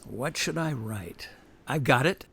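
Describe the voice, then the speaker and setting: Assured, expressive lawyer. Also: male voice, mid-range voice, slow, vocal fry, husky, exaggerated voice. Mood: genial. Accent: American. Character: loyal, confident attorney turned author. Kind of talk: radio drama acting.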